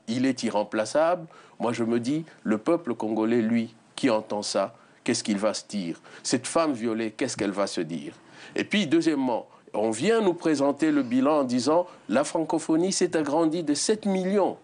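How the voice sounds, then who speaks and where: A man's voice: medium pitch, darkish tone. A man speaking as a lawyer guest on a televised debate, indignant and pleading, with frequent hesitations.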